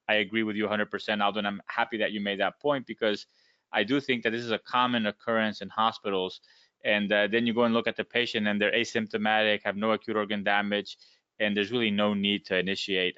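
A man's voice: fairly low pitch, sharp tone, smooth, dry recording, muffled recording. A male physician hosting a medical podcast, speaking courteously and with frequent fillers as he thanks and questions his guest. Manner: courteous, uses many fillers. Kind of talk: podcast interview.

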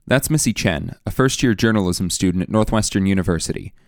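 A young man narrating a scripted documentary, measured and explanatory, often putting questions to the listener. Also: sits low, no echo, studio quality. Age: young adult. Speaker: student radio producer and narrator. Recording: faint music underneath.

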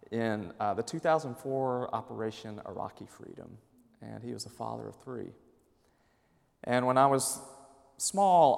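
A man in his late thirties from Mississippi, a seminary professor and minister, giving a measured, thoughtful personal talk to an audience. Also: fairly low pitch, gravelly. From Mississippi, USA. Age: late 30s. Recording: reverberant hall.

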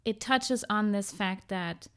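A woman's voice: mid-range voice, slow pace, fairly bright tone, clean tone. A woman from Germany, a podcast guest, talking reflectively with many hesitations and restarts. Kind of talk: casual conversation.

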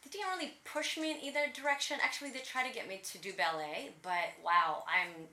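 A woman's voice: on the high side, a little fast, roomy sound. A woman from Latvia whose first language is Russian, telling her story as an interview guest with lots of energy and laughter. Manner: energetic, laughs often, animated. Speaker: guest, personal trainer.

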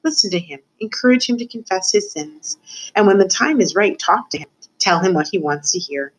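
A woman's voice: mid-range voice, expressive, brisk, close to an everyday voice, low-quality recording. A woman voicing a cynical, cruel older male rat who lectures theatrically in a storybook. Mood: sly, lecturing. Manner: theatrical, lecturing, emphatic with repetitions.

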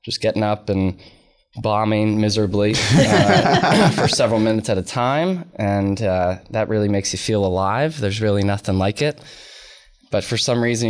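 A man's voice: fairly low pitch, animated, normal speed. A man who works as a comedian, chatting casually and self-deprecatingly as an interview guest.

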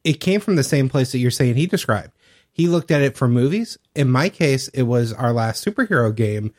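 A man's voice: medium pitch, lively intonation, moderate pace, darkish tone. A man co-hosting a casual podcast discussion about tabletop gaming, explaining his points from his own experience. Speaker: podcast co-host. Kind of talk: casual discussion of tabletop role-playing games.

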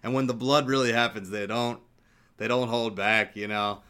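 A man's voice: medium pitch, fairly even intonation, normal speed, pushed and bright, smooth. A man chatting casually about films in an enthusiastic, loosely wandering conversational way. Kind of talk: casual film chat.

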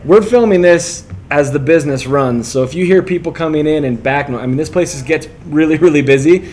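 A man's voice: on the high side, fairly quick, darkish tone, a little hiss or hum. A man co-hosting a casual business podcast, upbeat and jokey, repeating words as he asks questions. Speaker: podcast co-host, interviewer. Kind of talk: casual interview chat.

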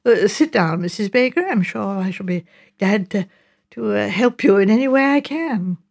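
Woman reading as hesitant, courteous gentleman, lively intonation. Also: medium pitch, normal speed, gravelly. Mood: awkward, courteous. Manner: hesitant, courteous, stammering slightly. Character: polite, bewildered London gentleman, an invalid. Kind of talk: audiobook character dialogue.

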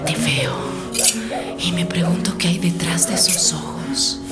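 A woman's voice: on the low side, a little slow, lightly acted. An actress playing a desolate, bitter woman bent on revenge, delivering a brooding monologue in a radio drama. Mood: desolate, menacing. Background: loud music.

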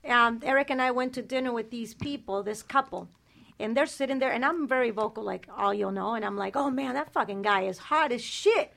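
A woman with a high voice talks crude and blunt on a talk show. She is brash and unfiltered when she tells her stories. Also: fairly quick, creaky, a bit bright. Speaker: co-host. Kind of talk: raunchy casual chat.

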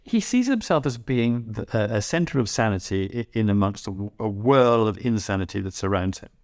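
A man in his sixties talking reflectively in a casual interview as a writer, halting and repeating words as he thinks. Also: lowish voice, lively intonation, dry recording, clean studio sound. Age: 60s. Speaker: writer and voice actor. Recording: music behind the voice. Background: plucked guitar music.

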